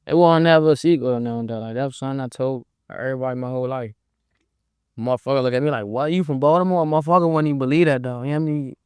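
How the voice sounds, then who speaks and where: Brief: smooth, resonant DC man, laid-back and slangy, dry close mic. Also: medium pitch, rapid-fire, a little mellow, dry recording. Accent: Washington DC. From Southeast Washington DC. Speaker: rapper, guest. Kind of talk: casual interview chat.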